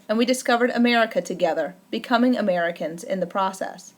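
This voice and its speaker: A woman reading a first-person memoir aloud as an audiobook, in a measured, deliberate way with careful emphasis. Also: fairly high pitch. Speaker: audiobook narrator.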